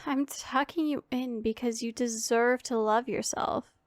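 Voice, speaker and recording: A woman's voice: on the high side, steady tone, a little slow, natural voice. A woman playing a powerful female demon who is commanding yet secretly caring, speaking with formal, imperious authority in an ASMR roleplay. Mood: stern, caring. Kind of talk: scripted ASMR roleplay addressed to the listener.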